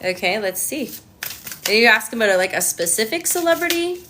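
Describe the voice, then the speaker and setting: A woman's voice: a little high, big pitch swings, a little fast, bright, forceful tone, a little hiss or hum. A Canadian woman doing a casual livestream tarot reading, chatting to viewers and answering their comments with lots of ums. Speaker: tarot reader.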